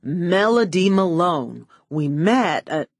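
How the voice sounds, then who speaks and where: A woman's voice: lowish voice, lively intonation, slow, no echo, heavily acted. A suave male film star , played by a woman narrator, polite but unsure of himself. He speaks hesitantly, bluffing his way along as an audiobook character, in a dry recording.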